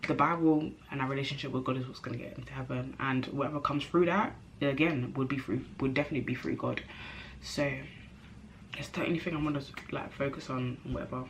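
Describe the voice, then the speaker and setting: A young woman vlogging to camera in a chatty, animated way, her nose a bit stuffy from a cold. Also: very deep voice, fast talker, a little hiss or hum, low-quality recording. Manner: chatty, animated, self-interrupting, slangy. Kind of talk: casual chat to camera.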